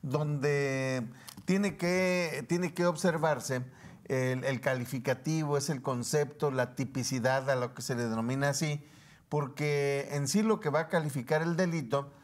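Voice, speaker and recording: A man's voice: medium pitch, steady tone, unhurried. A man with a Mexican accent speaking as a commentator on a panel talk show, explaining legal terms step by step in a didactic way.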